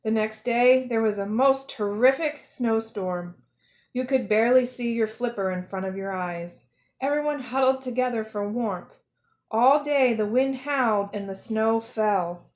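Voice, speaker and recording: A woman storyteller reading a picture book aloud for children, with careful, expressive phrasing. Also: on the high side, a little slow. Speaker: storyteller, zoo educator.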